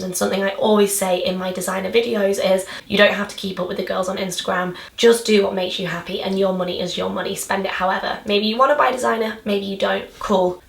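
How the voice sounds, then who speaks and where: Husky, chatty young woman. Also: mid-range voice, a bit bright, echoey room. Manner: chatty and bubbly. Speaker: fashion vlogger. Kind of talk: casual chat to camera.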